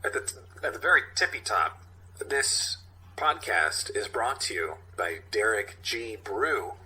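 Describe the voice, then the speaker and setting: A man's voice: fairly low pitch, big pitch swings, a little slow, bright, forceful tone, low-quality recording. A man hosting a podcast, chatting casually and reading out a comic script. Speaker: podcast host.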